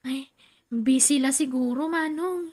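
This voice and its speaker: A woman's voice: high-pitched, a little mellow. A woman acting a hesitant young daughter and sister in an Ilocano radio drama. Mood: shy, hesitant. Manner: hesitant, halting.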